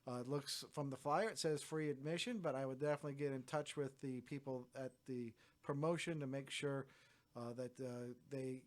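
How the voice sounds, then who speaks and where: Regular guy hosting a roundup vlog from home, middle-pitched voice, chill, lots of uhs and dry little jokes. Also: normal speed. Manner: relaxed and chatty with frequent "uh" fillers and dry asides. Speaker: host of a wrestling events roundup show. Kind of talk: casual solo roundup, talking to camera.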